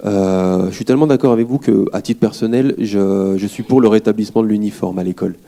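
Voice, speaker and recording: Low, gravelly-voiced man at a debate. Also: fairly even intonation, warm tone. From France. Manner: polite, earnest, halting with fillers. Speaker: audience member at a public debate. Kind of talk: public question from the floor.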